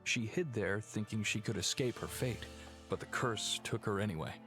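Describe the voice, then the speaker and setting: An actor playing an earnest, troubled young gentleman heir, reflective and formal, in a video game. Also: on the low side, moderate pace, music in the background, close to an everyday voice. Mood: somber, reflective. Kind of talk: video game cutscene voice acting.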